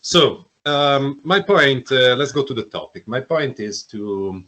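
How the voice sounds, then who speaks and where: A man's voice: medium pitch, lively intonation, takes it slowly, sharp tone. A man with Italian as his first language starting an academic talk, apologetic and hesitant. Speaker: conference speaker. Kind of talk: academic presentation.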